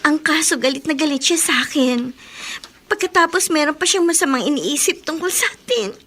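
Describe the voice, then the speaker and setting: Very high-pitched Filipino younger sister. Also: female voice, a little fast, a bit bright. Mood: indignant. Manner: pleading, earnest, emotional. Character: pleading, defensive younger sister, a young student. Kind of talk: Filipino radio drama acting.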